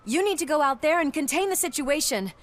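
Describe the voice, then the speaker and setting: High-voiced, tough female cop. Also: lively intonation. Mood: determined. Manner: brisk, no-nonsense. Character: tough, loyal female police officer, brisk and determined. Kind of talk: video game cutscene acting.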